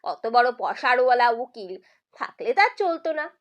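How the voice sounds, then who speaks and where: A woman's voice: fairly high pitch, sing-song, unhurried. A woman reading a literary short story as scripted audiobook narration. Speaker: storyteller reading for own channel. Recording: music behind the voice. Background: background music.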